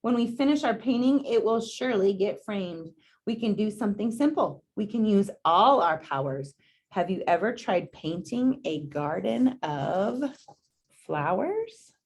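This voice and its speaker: A woman's voice: mid-range voice, expressive, measured pace, a little mellow, slightly rough. A woman voicing an eager male red paint splatter from a storybook, upbeat and coaxing as he rallies his team. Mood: encouraging.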